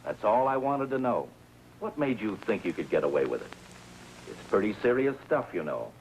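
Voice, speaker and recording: Dry 1950s police lieutenant, noisy soundtrack. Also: male voice, fairly low pitch, sing-song, a little mellow. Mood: firm, confronting. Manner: measured, dry, probing questioning. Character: calm, probing male police lieutenant, drily sardonic. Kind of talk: 1950s television crime drama acting.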